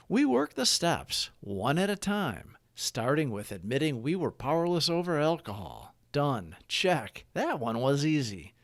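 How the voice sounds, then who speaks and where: A man reads scripted reflections in a medium-pitched voice that moves with lively intonation. His phrasing is measured and deliberate, broken into short clauses. The sound is close and dry, as in a read-along narration. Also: no echo. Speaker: narrator of daily recovery readings.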